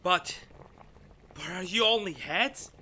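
An actor playing a frightened, awestruck man in a retro radio drama, questioning haltingly with melodramatic intensity. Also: sits high, noisy background. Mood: astonished.

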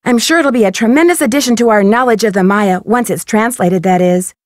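Casting: actress as young female game detective, American, high voice, courteous and curious, clean dry recording. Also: fairly quick, dry recording, natural voice. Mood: cheerful, eager. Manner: polite, crisply articulated, earnest. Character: young female amateur detective, polite, bright and inquisitive. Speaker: detective heroine of a mystery game. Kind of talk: scripted video game voice acting.

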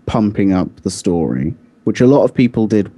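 Low, mellow-voiced man. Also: animated, moderate pace, breathy edge. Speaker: podcast co-host. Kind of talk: casual discussion of video games.

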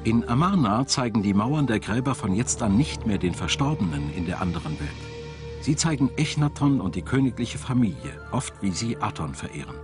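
A man's voice: on the low side, sing-song, a little fast. A man reading scripted documentary narration in German voice-over style. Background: background music.

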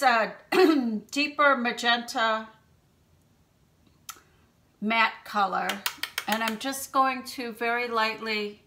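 A woman in her fifties hosting a makeup tutorial from a small room at home, explaining each step in a gentle, chatty way and clearing her throat now and then from allergies. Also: fairly high pitch. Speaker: beauty channel host.

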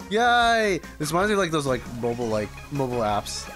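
Animated young male game streamer. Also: medium pitch. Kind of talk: casual live gameplay reaction. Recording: light game music behind. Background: video game music.

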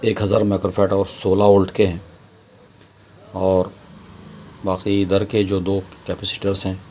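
A man's voice: low voice, warm tone, a little hiss or hum. A man giving an informal hands-on repair tutorial, explaining step by step to viewers in a home-made recording.

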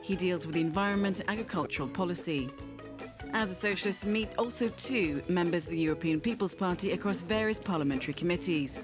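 A woman narrating a scripted documentary feature in a brisk, lively voice-over. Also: lowish voice. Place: voice-over studio. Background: background music.

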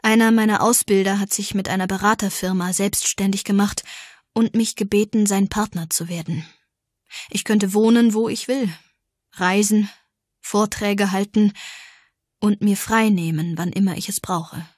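An easygoing middle-aged policeman, read by a woman in an audiobook. He talks in a warm, tentative way with a little teasing. The voice is slightly husky and very dry, close and free of room sound. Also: mid-range voice, fairly even intonation, normal speed, no echo, lightly acted. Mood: casual, tentative.

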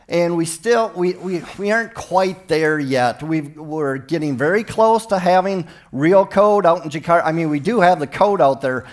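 Middle-aged American man, fairly high voice, conference keynote, chatty and relaxed. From Minnesota, United States. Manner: friendly, conversational, with restarts. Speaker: conference speaker. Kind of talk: technical conference talk. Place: large conference hall stage.

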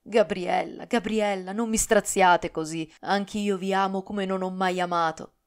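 A woman's voice: medium pitch, fairly even intonation, measured pace, clean tone, close to an everyday voice. A woman voicing a young nobleman in love, speaking earnestly and tenderly with solemn restraint. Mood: pleading. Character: young nobleman, tormented lover, earnest and dutiful.

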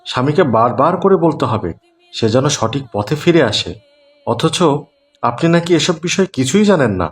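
A man playing an earnest, kindly father and family man in a cartoon, speaking in a preachy, measured, explanatory way. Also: fairly high pitch, lively intonation, close to an everyday voice. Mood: admonishing. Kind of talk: Bengali Islamic cartoon dialogue.

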